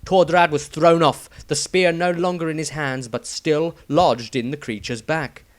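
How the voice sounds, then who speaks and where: A man's voice: a little high, steady tone, a little slow, no echo. A man reading scripted fantasy adventure narration as a dramatic storyteller.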